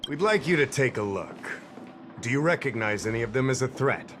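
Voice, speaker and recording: An actor playing a casual, chatty middle-aged security officer who rambles a little in a game cutscene. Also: male voice, medium pitch, animated, normal speed, noisy background, natural voice. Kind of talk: game voice acting. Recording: game cutscene with music behind.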